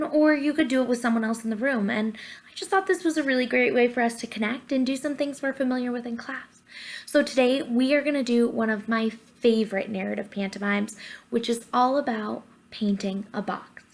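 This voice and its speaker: A young woman speaking as a drama teacher, guiding children through an activity in an encouraging, explanatory way, recorded at home on a webcam. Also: on the high side. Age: young adult. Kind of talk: instructional talk and guided pantomime narration for children. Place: living room at home, indoors. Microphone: webcam or laptop at arm's length. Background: soft quiet music.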